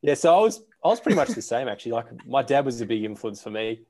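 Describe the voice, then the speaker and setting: A man's voice: medium pitch, big pitch swings, fast, mellow. An Australian man chatting in a casual interview, easygoing and a bit hesitant. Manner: easygoing, hesitant, many fillers.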